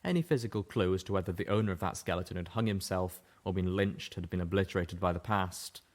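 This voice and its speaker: A man narrating a fan-fiction audiobook with a steady, even-paced reading voice. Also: deep voice, fast talker. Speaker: audiobook reader. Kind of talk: scripted story narration.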